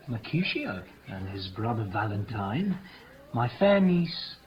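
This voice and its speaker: A man's voice: mid-range voice, big pitch swings, slow pace. Lovesick young nobleman, muffled sound. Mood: curious. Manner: theatrical, melancholy verse delivery. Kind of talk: 1950s radio Shakespeare acting. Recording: muffled old radio recording, crowd behind.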